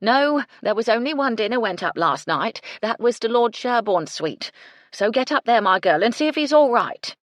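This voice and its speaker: A woman's voice: fairly low pitch, big pitch swings, brisk, no room sound, studio quality. Woman doing a stern, bossy housekeeper. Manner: commanding, sharp.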